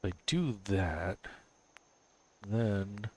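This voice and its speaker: A man's voice: lowish voice, animated, drawn-out words, noisy background. Stuffy-nosed man muttering as he builds. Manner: thinks aloud, muttering to himself and chuckling. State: stuffy and sniffly from a cold. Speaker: live streamer. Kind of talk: casual live-stream commentary while building in a game.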